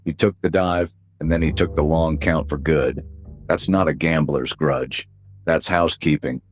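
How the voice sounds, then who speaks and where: An actor playing a weary, cynical private detective in a noir radio drama, talking in a wry deadpan. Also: male voice, a bass voice, a little slow. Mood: cold, confronting.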